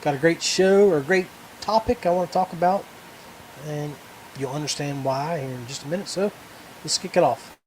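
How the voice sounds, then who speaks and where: A middle-aged male podcast host talks casually on his own, with a slightly high voice. He rambles and halts as he goes, and there is faint ambient noise behind him. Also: a little mellow, rough recording. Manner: halting, rambling delivery. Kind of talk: casual solo chat.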